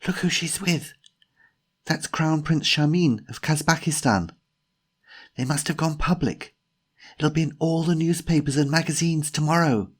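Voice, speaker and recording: A man voicing an excitable, bossy young woman in an audiobook story, breathy and urgent. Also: on the high side, unhurried, pitched above the performer's own voice. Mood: excited hissing.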